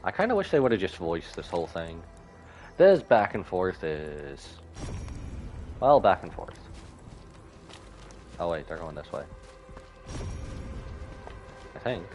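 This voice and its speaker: Lowish male voice, very animated, casual game streamer, reads dialogue aloud, faint music behind. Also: measured pace, long pauses.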